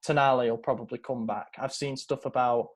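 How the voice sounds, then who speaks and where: A man's voice: mid-range voice, fairly even intonation, a little fast. A man, a podcast host chatting casually about football, rambling with many fillers.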